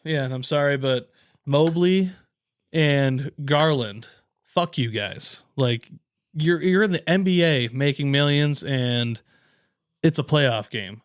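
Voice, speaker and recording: A man's voice: fairly high pitch, slow pace, clear, resonant tone, dry recording, clean studio sound. A man co-hosting a podcast, venting in a profane, frustrated rant during casual sports chat. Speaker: podcast co-host.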